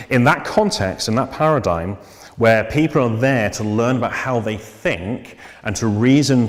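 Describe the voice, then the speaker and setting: A man giving an informal lecture as a therapy trainer, thoughtful and unhurried, with fillers and restarts. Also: fairly low pitch. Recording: long hall reverb.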